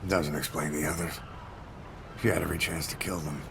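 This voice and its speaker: An actor playing a grim military commander in a video game, terse and menacing. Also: male voice, very deep voice, fairly quick, creaky, noisy background. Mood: stern, cold. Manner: terse, menacing, flatly delivered. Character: grim, commanding military man issuing orders.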